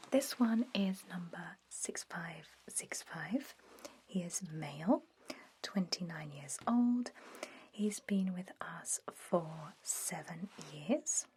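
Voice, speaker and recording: A woman playing a friendly, quietly sinister vampire head nurse in a soft, breathy ASMR whisper. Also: on the low side, a little hiss or hum. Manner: slow, gentle, coaxing, professional patter. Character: friendly chatty female vampire head nurse, cheerfully sinister toward captives.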